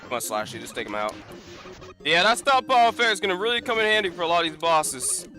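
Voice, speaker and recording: Male gaming streamer, bright, slightly high voice, rambling casual commentary, game music behind. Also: very animated, fast. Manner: rambling, many fillers and restarts.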